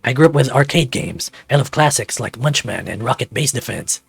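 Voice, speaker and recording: A man's voice: medium pitch, lively intonation, fairly quick, gravelly, breathy edge, dry recording, faint music behind, exaggerated voice. Visual novel dad, male performer: middle-aged, competitive, stubborn, teasing banter, dry close recording. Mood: defensive, competitive.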